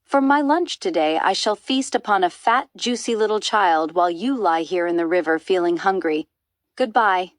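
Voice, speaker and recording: A woman's voice: fairly low pitch, animated, moderate pace, no room sound, studio quality, natural voice. A woman voicing an enormous greedy, boastful crocodile in a storybook, gloating and savouring every word.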